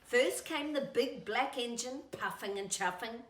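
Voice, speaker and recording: Animated, high-pitched woman reading to children. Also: unhurried, roomy sound. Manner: animated, singsong, addressing young children. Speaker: storytime host and children's author.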